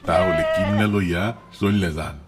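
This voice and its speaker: A man's voice: low voice, fairly even intonation, unhurried, heavy background noise. A man playing a sly, rude goat thief in a cartoon, brusque and gloating, with a colloquial Bangladeshi dialect. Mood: brusque, dismissive. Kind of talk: cartoon voice acting.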